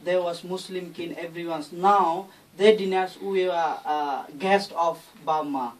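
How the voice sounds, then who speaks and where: Halting man from Myanmar, slightly distant mic. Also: high voice, steady tone. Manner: non-native English phrasing, earnest. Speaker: interview guest, founder of a charity. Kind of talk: halting explanatory interview talk. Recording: distant mic, faint background noise.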